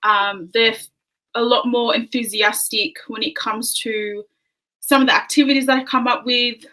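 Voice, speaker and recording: A fairly high, bright-voiced woman answers questions on a video call. She speaks in a friendly, modest way with plenty of "um"s. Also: fairly even intonation, normal speed, low-quality recording. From Australia. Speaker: podcast guest, early childhood educator. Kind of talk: casual interview chat.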